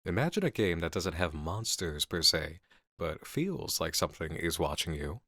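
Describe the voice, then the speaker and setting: An actor playing a composed, cerebral male coworker in an ASMR roleplay drama, speaking persuasively with deliberate pauses. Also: deep voice, sing-song, sharp tone, dry recording, clean studio sound, heavily acted. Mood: intense, persuasive.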